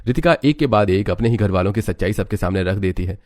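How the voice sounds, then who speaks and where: A man's voice: on the low side, lively intonation, fast talker, a little mellow, no room sound, clean studio sound. A man reading scripted, dramatic narration for a family story.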